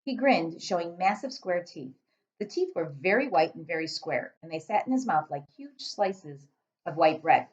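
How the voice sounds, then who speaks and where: Female teacher narrator for a children's story: very animated, medium-pitched, slightly muffled lo-fi recording. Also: moderate pace. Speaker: teacher reading aloud to pupils.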